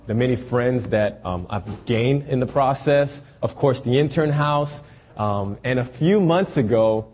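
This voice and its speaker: A young man from Haiti, a church intern, giving announcements and a sermon in an earnest, measured way with frequent ums. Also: mid-range voice, darkish tone.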